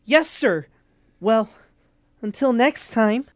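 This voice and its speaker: A woman's voice: on the high side, expressive, takes it slowly, no room sound, studio quality. A woman playing an earnest, polite, wide-eyed young boy sidekick in a comic audio drama. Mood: excited.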